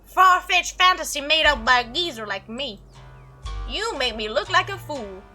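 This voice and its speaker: Woman voicing a cantankerous old Southern farmer, lively pitch. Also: normal speed, faint music behind, heavily acted. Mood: indignant. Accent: put-on Southern US. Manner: folksy, scolding, cantankerous. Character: gruff, stubborn old male country chicken farmer and hunter.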